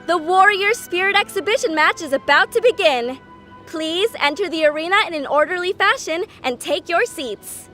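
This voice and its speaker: Very high-voiced, polite young announcer. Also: female voice, expressive, normal speed, bright, forceful tone, faint music behind, full character voice. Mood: formal, announcing. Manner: courteous, formal. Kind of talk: video game voice acting.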